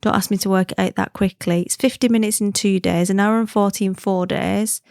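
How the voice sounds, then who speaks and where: A woman's voice: medium pitch, fairly even intonation, fairly quick, gravelly, darkish tone, no echo, studio quality. A woman from the UK hosting her own podcast, chatting in a friendly, easygoing way and laughing easily. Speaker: podcast host. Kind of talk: casual chatty podcast monologue.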